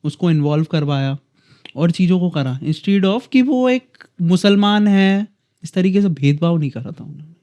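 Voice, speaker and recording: A man's voice: sits high, lively intonation, normal speed, warm tone. A man from India hosting a podcast, speaking deferentially and mostly giving short agreeing replies to his guest. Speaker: podcast host. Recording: dull lo-fi sound.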